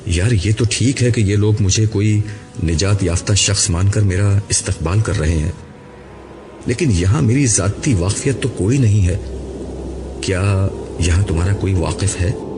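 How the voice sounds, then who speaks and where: A man's voice: fairly low pitch, moderate pace, natural voice. A man voicing an anxious, wry newcomer to the afterlife in an audiobook, with an uneasy, questioning manner. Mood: curious. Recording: clearly noisy recording.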